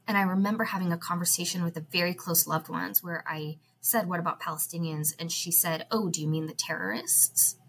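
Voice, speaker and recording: A woman's voice: lowish voice, steady tone, a little fast, gravelly, few pauses, fairly bright tone, slight background noise. A woman, speaking as a podcast guest, thoughtful, with frequent fillers. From United States. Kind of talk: podcast interview.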